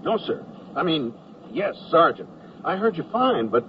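An actor playing a polite, hapless newly drafted war correspondent, narrating and acting in a wry radio comedy. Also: male voice, fairly high pitch, very animated, measured pace. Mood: meek, flustered. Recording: dull, noisy radio recording.

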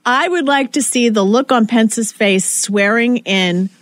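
Woman co-host, high animated voice, dry studio sound, expressive storyteller. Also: unhurried, dry recording. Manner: animated, laughing, dramatic retelling. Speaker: podcast co-host. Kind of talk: casual chat.

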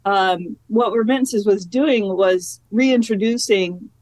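A woman's voice: medium pitch, slow pace, darkish tone, no echo, poor audio. Chatty woman professor, dry close sound. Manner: chatty, many fillers and restarts, laughs easily. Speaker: geography professor, interview guest. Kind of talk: casual academic interview.

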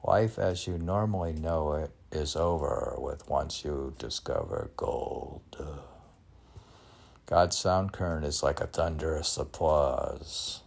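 An older man reads his own poems in a deep, gravelly, husky voice. He speaks slowly and softly, often half-whispering, and stumbles and restarts between lines. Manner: halting, with frequent restarts and fillers. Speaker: poet reading his own work. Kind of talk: poetry reading mixed with casual chat.

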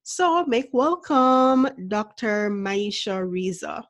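Female podcast host, slow and warmly enthusiastic, dry studio sound. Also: a little high, expressive, no echo. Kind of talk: scripted intro, then interview questions.

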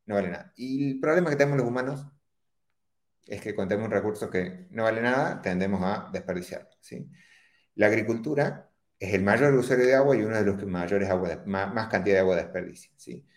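Podcast guest: Córdoba-accented Argentine man, lowish voice, explanatory, echoey room. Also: a little fast. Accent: Córdoba Argentine Spanish. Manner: explanatory, checks in with frequent tag questions. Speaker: startup founder guest. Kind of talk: podcast interview.

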